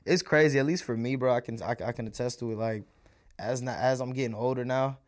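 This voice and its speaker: A young man in a loose, slangy podcast conversation, often breaking into laughter. Malayalam was his first language, though he now talks in easy English. His voice sits at an ordinary middle pitch for a man. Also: steady tone, fast talker. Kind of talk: casual chat.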